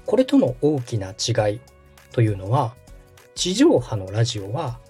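A man in his thirties from Osaka hosts a podcast, walking his guest through the basics politely and patiently. His voice is mellow and slightly husky, with a lively rise and fall in pitch. Faint music plays behind him. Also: measured pace. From Osaka, Japan. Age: 30s. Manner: polite, patient, step-by-step explaining. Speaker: podcast host, radio director. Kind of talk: explanatory podcast talk.

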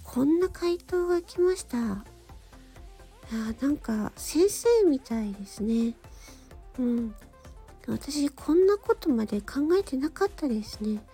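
Bright, high-voiced woman hosting, unhurried. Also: animated, slightly rough, faint music behind. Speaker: radio show host and amateur voice actor. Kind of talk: solo radio-style talk with read-out passages.

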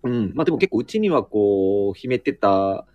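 A man in casual, reflective group chat, speaking haltingly as he searches for his words. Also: lowish voice, very animated, a little mellow, no echo, highs cut off. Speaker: acting student, guest in a group chat.

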